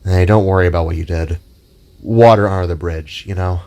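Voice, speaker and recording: A man's voice: sits low, steady tone, fairly quick, dark tone, pitched below the performer's own voice. A man voicing a wry, loyal male hero who speaks briefly and reassuringly. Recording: music under the voice.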